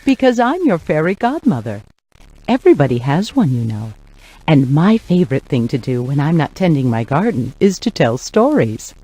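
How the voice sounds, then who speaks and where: A woman playing a kindly fairy godmother, gently narrating a children's storybook game. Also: low-pitched, big pitch swings, moderate pace, slightly rough, soft background music, exaggerated voice. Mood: kindly, inviting. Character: kindly female fairy godmother telling fairy tales.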